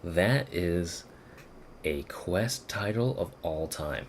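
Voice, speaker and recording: Male game streamer, very low voice, slangy and rambling, casual live commentary over noticeable background noise. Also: expressive, extremely slow. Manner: slangy, rambling with stammered repeats.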